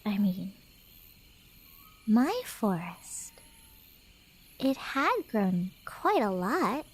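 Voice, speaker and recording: Woman playing a high-voiced, teasing Cheshire cat girl. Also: darkish tone, poor audio.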